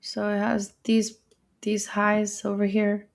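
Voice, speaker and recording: Very creaky-voiced woman on a trading livestream. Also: mid-range voice, completely flat delivery, slow pace. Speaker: live trading stream co-host. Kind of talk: casual live market commentary.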